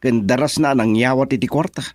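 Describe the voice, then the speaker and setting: A man's voice: mid-range voice, brisk, darkish tone, no room sound, natural voice. A man playing a middle-aged father in a radio drama, mildly chiding, with an Ilocano accent. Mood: questioning.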